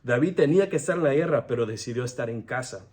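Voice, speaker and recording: A man's voice: mid-range voice, lively intonation, darkish tone. A young man giving an earnest devotional sermon, often stopping to restart his words. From United States. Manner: earnest, halting, frequent restarts. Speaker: devotional speaker.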